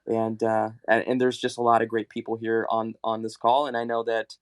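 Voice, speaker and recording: A man hosting a live audio call, talking earnestly and haltingly, with many restarts. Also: lowish voice, monotone, clean tone, no room sound, clean studio sound. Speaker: community host.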